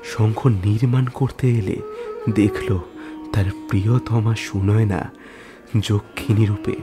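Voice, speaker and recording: A man reads a literary tale as an audio story narrator. His voice sits fairly low and he takes it very slowly, setting phrases apart with solemn, deliberate pauses. Instrumental music plays clearly behind him. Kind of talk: scripted dramatic literary storytelling. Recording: music under the voice.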